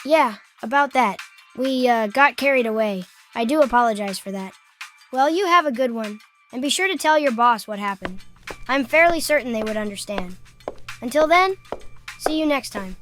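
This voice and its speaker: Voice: medium pitch, animated, normal speed, a bit bright, faint music behind, close to an everyday voice. An actor voicing an upbeat, polite and talkative child hero in a cartoon comic dub. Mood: polite, casual. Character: chatty, upbeat, polite plucky kid hero.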